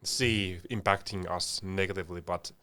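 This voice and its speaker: A young man with a fairly low voice co-hosts a casual podcast. He talks reflectively in a conversational way, slipping into little imagined exchanges to make his point. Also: steady tone, moderate pace, pushed and bright, clear, resonant tone. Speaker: podcast co-host.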